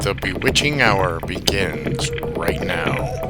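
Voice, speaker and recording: Low-voiced man reading promos over music. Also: big pitch swings, takes it slowly. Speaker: voice-over artist and radio show host. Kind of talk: scripted promo narration. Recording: loud music bed behind voice. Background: loud music.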